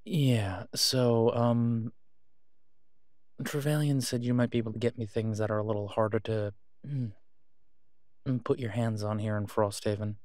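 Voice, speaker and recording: Male actor as guarded awkward man, fairly deep voice, slow hesitant delivery, understated, naturalistic audio roleplay. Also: fairly even intonation, darkish tone, no room sound, studio quality, lightly acted. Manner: hesitant, halting, low-key. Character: awkward, guarded man discreetly seeking gear to protect someone.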